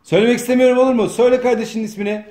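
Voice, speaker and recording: Bossy, high-pitched man directing. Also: steady tone, very fast, darkish tone. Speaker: off-camera director of a comedy sketch. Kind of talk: coaching and feeding lines. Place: home dining room, indoors. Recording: echoey room, faint noise.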